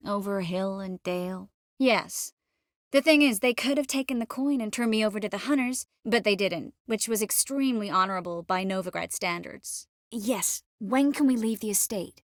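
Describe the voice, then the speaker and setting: Woman playing a poised game sorceress, caring but firm, mid-pitched, very dry studio sound. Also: no echo, lightly acted. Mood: earnest. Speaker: video game voice actor. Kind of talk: scripted game character dialogue.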